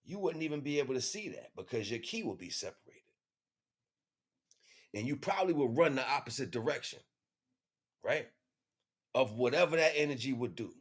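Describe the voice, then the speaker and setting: A man's voice: mid-range voice, lively intonation, moderate pace, pauses often, husky. An American man livestreaming an informal spiritual monologue to viewers, speaking in emphatic, repetitive, slangy street talk. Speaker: livestream host.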